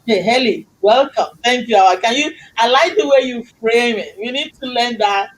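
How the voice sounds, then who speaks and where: A woman's voice: a little high, moderate pace, low-quality recording. A middle-aged woman hosting a talk show who speaks English as a second language, talking in an effusive, faith-filled, preachy way. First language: not English. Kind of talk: casual chat show hosting.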